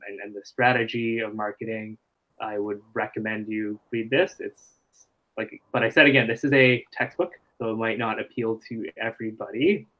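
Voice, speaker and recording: A man's voice: fairly low pitch, highs cut off. A man presenting a marketing webinar over a video call, explaining loosely with lots of fillers. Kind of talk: casual live webinar teaching.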